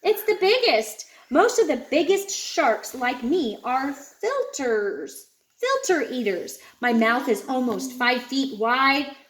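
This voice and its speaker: Woman as a proud, high-voiced storybook shark. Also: animated, slow, fairly bright tone, heavily acted. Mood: proud explaining. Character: assorted proud sharks introducing themselves in storybook.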